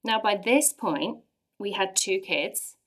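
A woman in her forties with an English accent, earnestly sharing a personal faith testimony with lively emphasis. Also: medium pitch, takes it slowly. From England. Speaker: church speaker sharing testimony.

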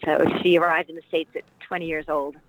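A woman's voice: sits low, little pitch movement, fairly quick, slight background noise, low-quality recording. Reflective middle-aged woman telling stories. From Washington, D.C. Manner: reflective, rambling storytelling with fillers. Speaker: actress and dialect coach, interview guest. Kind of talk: casual interview conversation.

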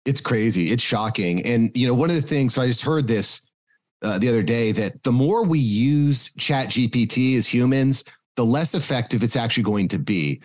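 A man's voice: mid-range voice, lively intonation, moderate pace. Easygoing man moderator. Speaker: podcast agency owner, session moderator. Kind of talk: casual conversation.

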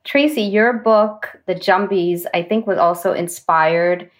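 A woman's voice: medium pitch, measured pace. A woman hosting a podcast from her home office over a video call, asking encouraging, inquisitive questions. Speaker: podcast host. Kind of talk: friendly interviewing over a call.

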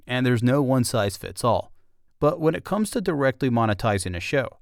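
A man's voice: mid-range voice, creaky, no echo, clean studio sound. A man hosting a podcast, delivering scripted documentary-style narration.

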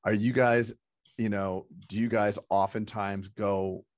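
A man's voice: fairly low pitch, flat delivery, unhurried, no room sound, studio quality. A man hosting an interview, asking chatty, curious, rambling questions.

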